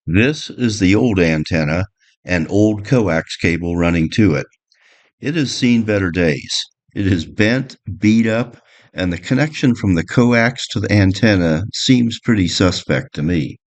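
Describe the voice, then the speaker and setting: A man's voice: deep voice, animated, a little slow. A man presenting a boat electronics guide in an instructional, explanatory narration.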